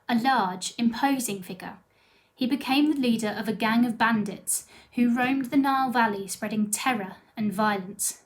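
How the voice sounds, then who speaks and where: A woman's voice: fairly high pitch, normal speed. A woman reading scripted biographical narration.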